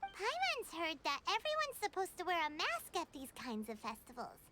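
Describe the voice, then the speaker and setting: Squeaky, tiny, excitable fairy sidekick. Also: female voice, normal speed, very noisy, full character voice. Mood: curious, puzzled. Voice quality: squeaky, childlike. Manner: speaks of herself in the third person, exclamatory. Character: tiny excitable childlike fairy companion, chirpy and snack-loving. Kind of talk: anime video game voice acting.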